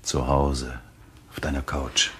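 An actor playing a vengeful, calculating husband in a German thriller radio play, with measured phrasing. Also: male voice, extremely low pitch, level tone, fairly bright tone, husky, heavily acted. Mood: soothing. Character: vengeful, calculating husband who staged a cruel charade.

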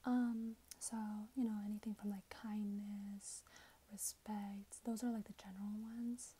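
A woman in her twenties speaking in a hushed, breathy whisper, gently role-playing a customer service clerk for ASMR. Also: medium pitch, little pitch movement, a little slow. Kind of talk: soft-spoken ASMR role-play. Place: small plain indoor room.

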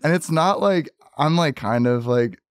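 A young man, a podcast producer, chatting casually and telling stories in a halting, slangy way. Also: on the high side, expressive, clean tone, no room sound, clean studio sound. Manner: hesitant, slangy storytelling full of "like". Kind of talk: casual anecdotal chat.

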